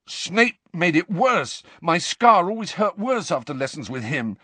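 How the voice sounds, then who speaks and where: Man acting a furious, high-pitched teenage boy wizard. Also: sing-song, unhurried, breathy edge, no echo, exaggerated voice. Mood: agitated. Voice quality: strained, cracking voice. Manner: accusing, shouting, indignant. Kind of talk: dramatic audiobook character reading.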